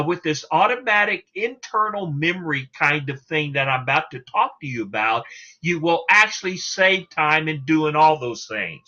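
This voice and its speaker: A man's voice: on the high side, bright, forceful tone. A man giving an instructional talk as a sales coach, emphatic and salesman-like, putting rhetorical questions to the listener. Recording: dull, lo-fi sound.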